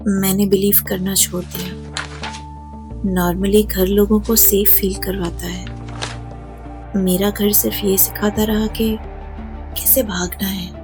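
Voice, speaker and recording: An actress playing a guarded young woman wounded by childhood pain in an audio drama, speaking haltingly and emotionally. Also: mid-range voice, natural voice. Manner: halting, emotional, drops to hushed tones. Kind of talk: Hindi audio drama acting.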